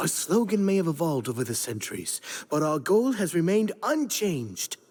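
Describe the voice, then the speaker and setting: Eager game recruiter, polite, kinda high lively voice, welcoming pitch. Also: male voice, moderate pace, slightly rough. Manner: polite, promotional. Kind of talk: video game character voice acting.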